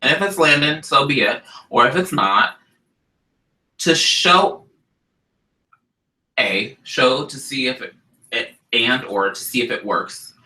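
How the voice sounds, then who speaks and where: Nasal, congested man with a very bright voice. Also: mid-range voice, slow pace, pauses often. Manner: animated, chatty, interjecting. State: congested, slightly nasal from illness. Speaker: co-host, drag performer. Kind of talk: casual chat. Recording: roomy echo.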